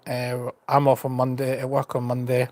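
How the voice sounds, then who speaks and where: A Scottish man talks casually and frankly to his viewers in a plain, mid-pitched voice. He rambles, stumbles and repeats a word here and there. The sound is dry, with almost no room echo. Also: monotone, normal speed, a little mellow. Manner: stutters a little, repeats words. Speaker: travel vlogger on a live stream. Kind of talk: casual chat.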